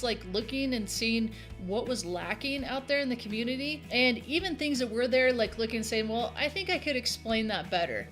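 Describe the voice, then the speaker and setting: A woman's voice: fairly high pitch, a bit bright. A woman in her thirties hosting a casual Q&A, reading out viewer questions and answering them in a chatty, upbeat way. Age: 30s. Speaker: game tutorial host.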